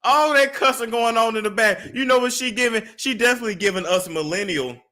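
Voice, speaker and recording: High, bright-voiced man mocking gossip clips. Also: quick pace. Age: young adult. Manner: mocking, laughing asides. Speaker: commentary host. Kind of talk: gossip commentary over clips.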